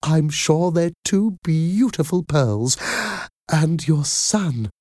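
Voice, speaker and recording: A man voicing a warm, enthusiastic older woman, a nanny with an effusive, fond manner, in a storybook reading. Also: a little high, expressive, slow pace, no room sound, studio quality, pitched above the performer's own voice.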